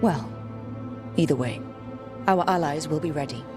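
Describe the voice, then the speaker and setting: A woman's voice: low voice, normal speed, over music, natural voice. A woman playing a resolute, plain-spoken leader rallying her team in a video game cutscene. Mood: firm.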